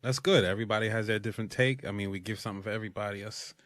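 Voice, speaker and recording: A man's voice: lowish voice, steady tone, rapid-fire, a bit bright, no room sound, clean studio sound. A man co-hosting a casual podcast, easygoing and encouraging, laughing often. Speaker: podcast co-host.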